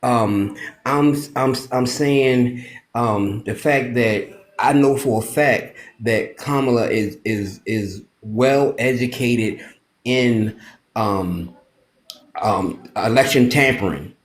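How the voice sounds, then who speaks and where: A man in his late fifties from Maryland calls in to a podcast. He speaks haltingly and keeps restarting his sentences. His middle-pitched voice sounds roomy and echoey. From Maryland, USA. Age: 50s. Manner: halting, repetitive, with many restarts. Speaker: caller. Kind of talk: casual live-stream chat.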